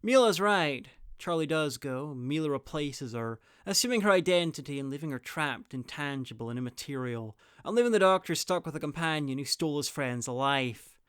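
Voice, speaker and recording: A man's voice: fairly high pitch, expressive, moderate pace, a bit bright, clear, resonant tone, dry recording. A man narrating a scripted review in a wry, blunt, sweary manner. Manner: blunt, sweary, dry humour. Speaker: reviewer and presenter.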